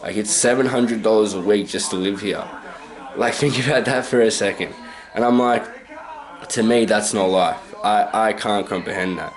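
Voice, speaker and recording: Rambling young Australian man, lowish voice. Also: normal speed. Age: 20s. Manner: rambling, self-correcting, chuckles often. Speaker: vlogger. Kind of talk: casual story-time monologue. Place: his own house, indoors. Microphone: handheld camcorder.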